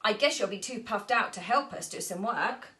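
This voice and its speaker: A woman's voice: mid-range voice, normal speed, pushed and bright, close to an everyday voice. A woman voicing a friendly, jovial giant astronaut in a children's storybook, with a breezy, chummy manner.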